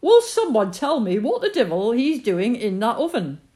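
A woman voicing a gruff, blustering middle-aged male farmer in a storybook reading. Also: medium pitch, animated, moderate pace, darkish tone, pitched above the performer's own voice, natural voice.

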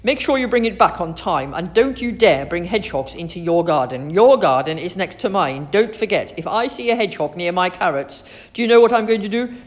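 A woman's voice: low-pitched, animated, a little fast, few pauses, big-room sound. A mean, miserable male librarian, acted by a woman reader, from a children's storybook. He snaps and sniffs rudely, with sharply swooping intonation. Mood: threatening. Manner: snapping, sniffy, rude.

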